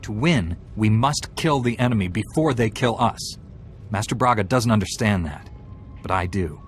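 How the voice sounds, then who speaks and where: Game protagonist, male actor: ruthless righteous knight, lowish register, firm commanding tone, light music bed. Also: expressive, normal speed, lightly acted. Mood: righteous, cold. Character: ruthless, self-righteous Jedi warrior, commanding young man. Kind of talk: video game hero acting.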